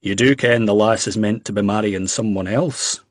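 A man's voice: fairly low pitch, moderate pace, no room sound, close to an everyday voice. A man voicing a wary young Scottish man who speaks bluntly and carefully, with a Scottish accent. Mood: wary probing. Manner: careful, dry, politely probing.

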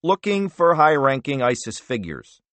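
A man's voice: mid-range voice, very animated, moderate pace, a bit bright, no room sound, pitched above the performer's own voice. A man voicing a weary spy agency director in his late fifties who picks his words carefully. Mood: guarded. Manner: careful, restrained, frustrated.

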